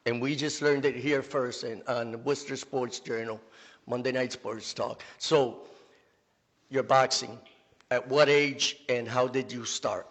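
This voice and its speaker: A man hosting a sports talk show, interviewing a guest with eager, quick interjections. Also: mid-range voice, steady tone, fairly bright tone. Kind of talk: casual interview. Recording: long reverb.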